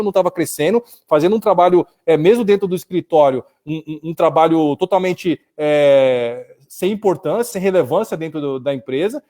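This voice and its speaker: A Brazilian man with a Brazilian Portuguese accent speaking from his office in a casual live discussion, telling stories in an animated way and often calling his listener "cara". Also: high voice. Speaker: business owner and co-host. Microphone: video call.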